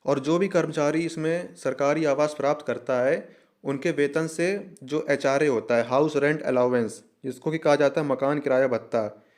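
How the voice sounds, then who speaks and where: A man's voice: fairly high pitch, normal speed, a little mellow. A man with a North Indian accent presenting an explanatory lesson, reading a document aloud and methodically repeating and glossing each term. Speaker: presenter of an exam information channel.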